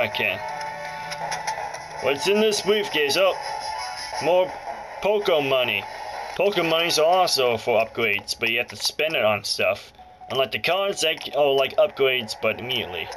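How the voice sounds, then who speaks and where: A man's voice: medium pitch, very animated, measured pace, rough recording. A man giving casual, off-the-cuff gameplay commentary as a Let's Play host, muttering short reactions to the action. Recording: loud game music over the voice. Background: loud music and game audio from a handheld console.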